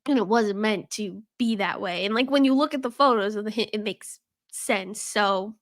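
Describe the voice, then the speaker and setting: A woman's voice: medium pitch, moderate pace, no room sound, studio quality. A woman co-hosting a podcast, congested from a cold, rambling with lots of "like" and frequent laughs. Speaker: podcast co-host. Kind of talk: casual chat. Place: podcast studio.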